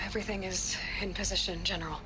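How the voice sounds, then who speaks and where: An actress playing a composed, resolute lead scientist in a game cutscene, measured and businesslike. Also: female voice, mid-range voice, fairly even intonation, over music, natural voice, low-quality recording. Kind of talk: dramatic game cutscene acting.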